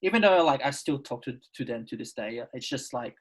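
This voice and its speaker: A young woman in her twenties with an Australian accent and a noticeably husky voice. She co-hosts a casual podcast chat. Her talk is hesitant, circling back over words. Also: a bass voice, expressive, brisk. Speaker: podcast co-host.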